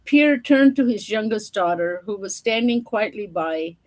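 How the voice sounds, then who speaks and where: A woman's voice: medium pitch, lively intonation, unhurried, low-quality recording. A woman storyteller reading a children's picture book aloud, with informal, chatty asides to her listeners.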